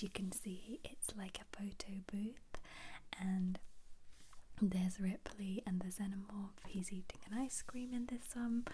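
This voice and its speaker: Whispering woman, soft ASMR. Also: medium pitch, a little hiss or hum. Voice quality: whispered, breathy. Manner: gentle, casual, chatty. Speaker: ASMR video host. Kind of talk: soft-spoken ASMR book flip-through.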